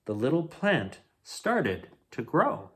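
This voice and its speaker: A man reading a children's picture book aloud as a bedtime story host, in an expressive, dramatic way. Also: medium pitch, takes it slowly.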